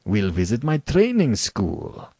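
A man's voice: mid-range voice, huge pitch swings, slow, a little mellow, husky, no echo, studio quality, full character voice. A man voicing an urbane, arrogant aristocratic general in a story, with bland, precisely worded condescension. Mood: suave, mocking. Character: urbane, arrogant male aristocrat general who hunts men.

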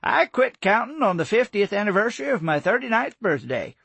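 A good-humored old gentleman teases in a formal, courtly way, as a male narrator plays him. His voice rises and falls with a gravelly texture and a husky edge. The sound is close and dry. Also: high-pitched, big pitch swings, brisk, no room sound, voice pushed up, exaggerated voice. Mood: teasing. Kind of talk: audiobook character voice.

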